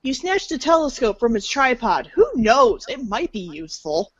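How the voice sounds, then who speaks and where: Woman narrator with lively, high pitch. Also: moderate pace, slightly rough, no echo, lo-fi sound. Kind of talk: scripted storybook narration.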